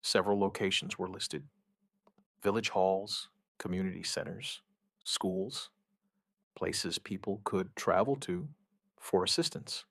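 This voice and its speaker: An actor playing a shaken outbreak survivor, giving his account in halting, somber, dramatic narration with short deliberate phrases. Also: deep voice, steady tone, vocal fry, a bit bright, dry recording, studio quality, heavily acted. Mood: quietly determined. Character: shaken male outbreak survivor recounting events, dryly stubborn. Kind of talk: dramatic first-person scripted narration, witness account.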